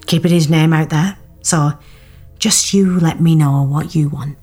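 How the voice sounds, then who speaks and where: A woman's voice: low-pitched, steady tone, measured pace, dark tone, faint music behind. A woman acting a cool, businesslike character striking a bargain in an audio drama. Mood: cool, pointed.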